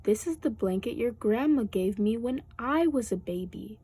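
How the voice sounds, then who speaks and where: A woman's voice: a little high, expressive, normal speed, warm tone, slightly rough. A woman voicing a firm but caring mother in a children's storybook, motherly and emphatic. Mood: gentle, reminiscing.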